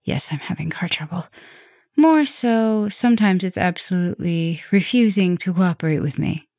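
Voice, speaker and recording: Low-voiced, intimate vampire mistress, acted by a woman. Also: animated, normal speed, a little mellow, no echo, clean studio sound. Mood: chatty, casual. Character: caring, softly dominant female vampire professor, protective mistress. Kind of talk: ASMR roleplay, speaking intimately to the listener.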